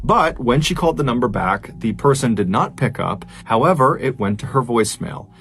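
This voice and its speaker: A man's voice: medium pitch, lively intonation, a little fast, noisy background. A man telling a strange true story as a host, in a conversational, emphatic and suspenseful narrating style.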